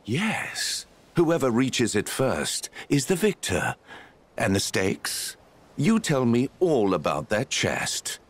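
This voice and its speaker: Casting: smug scheming villain, husky, slow drawl, hugely swinging intonation, mock-polite. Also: male voice, medium pitch, wildly swooping pitch, full character voice. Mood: sly, taunting. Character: smug, scheming male villain, theatrical and sly. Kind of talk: video game voice acting.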